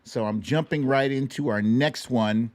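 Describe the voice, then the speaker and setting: A man hosting a livestream, giving casual, slangy and animated commentary on clips, with some swearing. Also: medium pitch, measured pace, no echo. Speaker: livestream show host.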